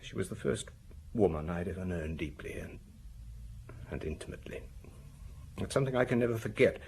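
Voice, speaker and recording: Troubled, hesitant British man, expressively pitched. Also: animated, gravelly, darkish tone, slight background noise. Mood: wistful, troubled. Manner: hesitant, halting, rambling. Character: troubled, tipsy, confessional male murder suspect. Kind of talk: radio drama acting.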